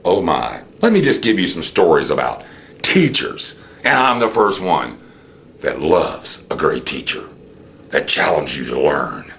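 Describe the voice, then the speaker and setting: An American man giving an unscripted political monologue, theatrical in manner, with rhetorical questions and drawn-out emphasis. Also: very deep voice, very animated, creaky, a bit bright, slight background noise. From United States. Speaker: host of a political commentary show.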